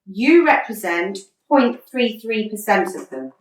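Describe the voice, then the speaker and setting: Radio comedy: exasperated but patient female football coach played by a woman, articulate, swooping pitch, echoey room. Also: big pitch swings, unhurried. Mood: dry, reproving. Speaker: actor in a radio play. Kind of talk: radio comedy drama acting. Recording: roomy echo, distant from mic.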